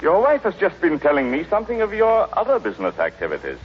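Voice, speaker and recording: A man playing a grumpy, excitable middle-aged businessman in an old radio comedy, grumbling and stammering with exasperation. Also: sits high, fast, husky, heavily acted. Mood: wary. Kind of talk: 1930s-1940s radio comedy acting. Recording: muffled noisy old radio recording.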